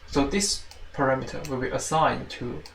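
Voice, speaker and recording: A roomy, slightly distant recording with faint noise carries a man with a Chinese accent. His mid-pitched voice has a creaky edge. He explains step by step like a teaching assistant, haltingly, circling back to repeat and reword his phrases. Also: steady tone, a bit bright. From China. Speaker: teaching assistant giving a programming tutorial. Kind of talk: informal spoken tutorial walkthrough.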